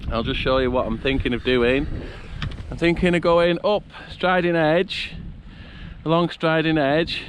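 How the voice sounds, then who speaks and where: A man's voice: fairly high pitch, steady tone, a little slow, a little hiss or hum. A man, chesty after an illness, chatting and thinking aloud as he hikes up a mountain path. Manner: chatty, thinking aloud, coughs now and then. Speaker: hiker vlogger. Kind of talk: casual outdoor vlog narration.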